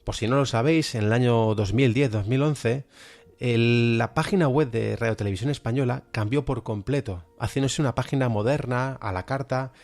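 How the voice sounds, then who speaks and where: A man's voice: medium pitch, normal speed, darkish tone. A man with an accent from Spain presents an enthusiastic, semi-scripted podcast review of radio drama. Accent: Spanish, from Spain. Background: background music.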